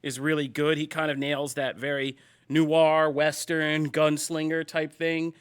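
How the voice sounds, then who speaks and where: A man's voice: on the high side, flat delivery, unhurried, clean tone. A man hosting a casual pop-culture podcast, talking energetically with lots of fillers and jokes. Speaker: podcast host. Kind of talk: casual panel chat.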